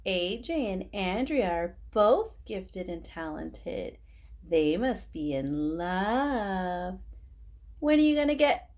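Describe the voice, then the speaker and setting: A woman's voice: medium pitch, very animated, slow pace, darkish tone. A woman voicing a teasing, melodramatic schoolboy classmate in a children's storybook. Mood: taunting.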